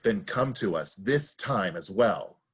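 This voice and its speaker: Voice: sits low, expressive, slow, husky, heavily acted. A performer playing a solemn Theban chorus member praying to the gods in an incantatory Greek tragedy reading. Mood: pleading, reverent.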